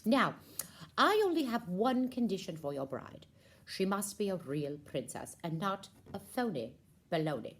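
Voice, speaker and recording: A woman plays a scatterbrained queen mother in a children's puppet show. She fusses regally and speaks with total self-assurance. Her pitch leaps about in a very animated way. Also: unhurried. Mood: self-assured.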